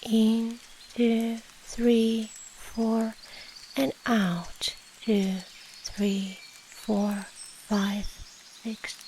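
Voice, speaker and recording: A woman guiding a meditation in a soft, soothing, measured way with long pauses and breathy endings. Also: on the high side, rough recording. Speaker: meditation guide. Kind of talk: slow guided meditation. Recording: steady rain noise behind the voice. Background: rain and nature ambience.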